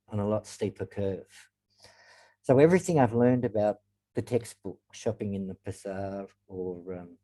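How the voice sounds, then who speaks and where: A man giving a reflective webinar talk as guest speaker, pausing often with ums. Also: lowish voice, warm tone, poor audio. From Australia. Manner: reflective, frequent ums and pauses. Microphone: video call.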